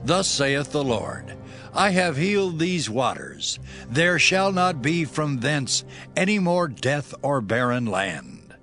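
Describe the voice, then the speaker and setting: A man's voice: fairly high pitch, big pitch swings, slow, fairly bright tone, soft background music, exaggerated voice. A man playing a devoted, solemn prophet's disciple in a dramatized Bible, with declamatory delivery. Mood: commanding, solemn.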